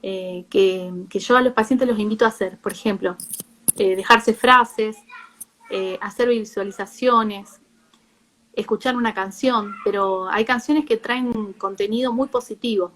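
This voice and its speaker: A woman psychologist with an Argentine accent, explaining informally in a live talk with a halting, self-rephrasing delivery. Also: mid-range voice, fairly even intonation, a bit bright, low-quality recording. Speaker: psychologist, guest speaker.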